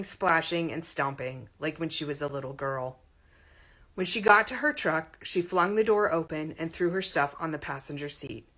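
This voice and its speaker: A woman reading a romantic comedy story aloud in an expressive audiobook narration style. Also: on the low side.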